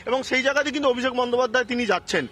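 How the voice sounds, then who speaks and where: A man's voice: very high voice, rapid-fire, bright, forceful tone. A man with a West Bengal accent reporting live from the field in a hesitant, repetitive running commentary.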